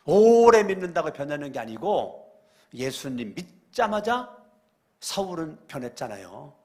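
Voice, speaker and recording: A male pastor preaching a church sermon, repeating phrases and asking the congregation questions, moving between loud exclamations and quiet asides. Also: on the high side, very animated, unhurried. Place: church sanctuary during a weekday service.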